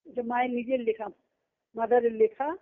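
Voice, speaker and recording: A woman's voice: on the high side, level tone, normal speed. A woman giving an earnest devotional talk to a group, repeating phrases for emphasis. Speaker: spiritual discourse speaker. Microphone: phone conference call. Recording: tinny narrowband conference call line.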